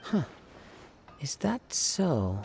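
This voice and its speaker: A man's voice: on the high side, big pitch swings, very slow, creaky, darkish tone, slight background noise, heavily acted. A man voicing a young, modest, thoughtful wandering samurai in a video game, composed and polite. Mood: calm, tactful.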